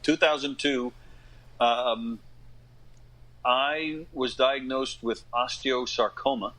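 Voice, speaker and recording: Man, fifties, American accent, bright punchy voice, middle pitch, casual interview talk, animated, rambling. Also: takes it slowly, rough recording. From United States. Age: 50s. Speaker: guest, actor and comedy dinner theater director.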